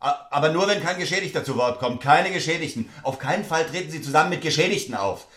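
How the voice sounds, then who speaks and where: A man's voice: on the high side, expressive, fast, fairly bright tone. A man playing a brusque, cynical middle-aged businessman father in a drama, clipped, lawyerly and dismissive. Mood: businesslike. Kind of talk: theatrical ensemble dialogue.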